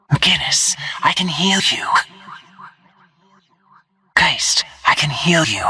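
A cold, focused female assassin from a video game, giving short tactical callouts. Her voice is low, very husky and breathy, often sinking into a whisper with a sharp, hissing edge. She stays terse and deliberate, like someone keeping out of sight. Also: exaggerated voice. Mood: hushed, reassuring. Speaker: playable video game character.

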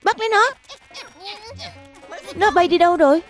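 Excitable little bear cub, super high childlike voice, urgent and exclaiming, kids cartoon with music. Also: normal speed. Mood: urgent, excited. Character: excitable little bear cubs, bold and squabbling.

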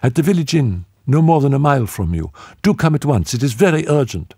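A man's voice: medium pitch, animated, normal speed, gravelly, darkish tone, slightly rough, no echo, studio quality, voice pushed up. A man reading aloud, voicing a lilting young woman pleading urgently over the telephone.

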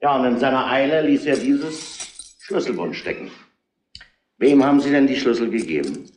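An actor playing a gruff, domineering police inspector who deliberately lays out a case in a retro crime radio play. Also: male voice, medium pitch, animated, a little mellow, echoey room, a little hiss or hum, close to an everyday voice. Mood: stern.

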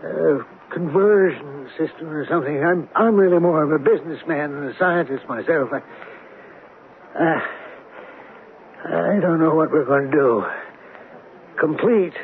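A man's voice: on the high side, normal speed, long pauses, close to an everyday voice. A man acting a flustered, evasive company president in a 1970s radio drama, hesitant and defensive. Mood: worried, defensive. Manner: hesitant, anxious, defensive businessman. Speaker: actor in a radio mystery drama. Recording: tinny, noisy old radio recording.

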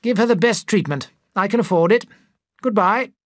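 A narrator voicing a hurried wealthy father in an audiobook, curt and commanding. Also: medium pitch, no room sound, studio quality, pitched above the performer's own voice, close to an everyday voice. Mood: impatient. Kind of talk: audiobook character voice.

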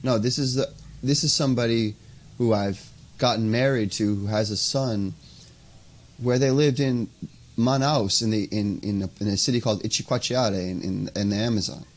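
American man talking haltingly on a video call. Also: lowish voice, fairly even intonation. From United States. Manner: casual, halting, lots of "you know" and "um". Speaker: podcast guest. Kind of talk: interview conversation. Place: indoors by a window, video call. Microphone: earphone mic on a video call.

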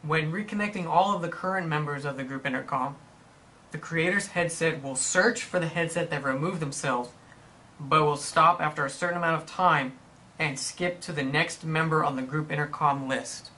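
A man reading scripted instructional narration in a measured, explanatory manner for a product tutorial. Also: a little high, sharp tone, slight background noise.

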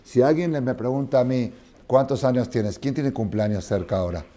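A man in his sixties teaching a Torah class in a study hall, expressive and often asking his listeners whether they follow. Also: medium pitch, a little fast. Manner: expressive, questioning listeners, acting out dialogue. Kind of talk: animated Torah lesson with parables. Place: study hall with wooden chairs, indoors.

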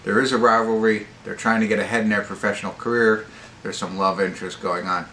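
A middle-aged American man giving a casual, unscripted movie review in a halting, rambling way, often correcting himself. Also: lowish voice, level tone, pushed and bright. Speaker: amateur movie reviewer and host. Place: small indoor wood-panelled room at home. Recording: roomy echo with faint background noise.

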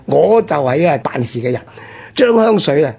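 A male radio co-host with a Hong Kong Cantonese accent and a husky voice, telling history in an animated, conversational way. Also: fairly high pitch, normal speed.

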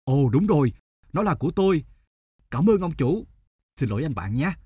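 An actor playing a generous but suspicious middle-aged male traveler in an animated folk tale. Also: on the high side, normal speed, slightly rough, no room sound, exaggerated voice. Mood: apologetic.

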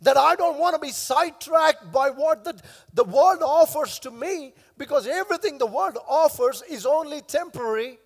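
Man, pastor, hoarse voice, high pitch, emphatic sermon, headset mic, reverberant church hall. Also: lively intonation, normal speed. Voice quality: coughing now and then. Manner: emphatic preaching, repeating key phrases. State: hoarse, lost his voice. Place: large church stage with long reverb.

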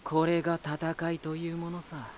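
An actor playing a proud, ominous lighthouse spirit hardened by war, deliberate, cryptic and condescending, in a dramatic voice drama. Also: male voice, a little high, completely flat delivery, slight background noise, natural voice. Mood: scornful. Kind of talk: dramatic Japanese voice drama acting.

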